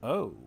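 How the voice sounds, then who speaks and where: A man livestreaming a video game, musing aloud and reacting casually as he plays. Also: background noise. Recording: stream with clear game music behind.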